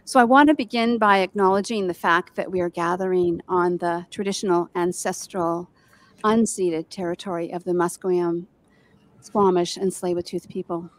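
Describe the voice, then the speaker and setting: A soft-spoken woman in her sixties, hosting an event and reading a land acknowledgment from notes over a video call. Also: fairly low pitch, steady tone, normal speed. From Canada. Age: 60s. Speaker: event host. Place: home room on a video call. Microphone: handheld mic at a video call.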